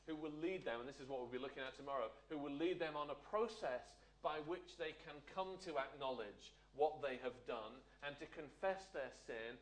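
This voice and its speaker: A man preaching a sermon, often asking the listeners rhetorical questions. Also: medium pitch. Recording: echoey room.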